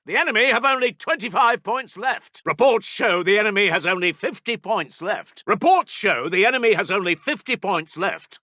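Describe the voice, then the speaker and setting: A man's voice: high-pitched, bright, forceful tone, dry recording, clean studio sound. A man playing an urgent British Second World War intelligence officer for a video game, giving clipped, emphatic military reports. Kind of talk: video game voice lines, wartime battle reports.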